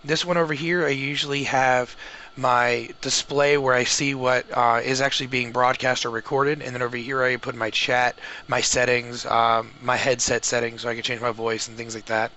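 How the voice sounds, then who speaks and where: A man's voice: mid-range voice, little pitch movement, normal speed, sharp tone, poor audio. A man gives an informal how-to tutorial, explaining things step by step in a casual way. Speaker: tutorial host, gamer and streamer.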